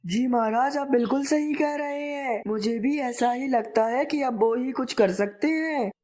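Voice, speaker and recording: A woman's voice: high-pitched, unhurried, darkish tone, pitched above the performer's own voice. A woman voicing a small, earnest, scolding forest bird in a children's cartoon. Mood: agreeing.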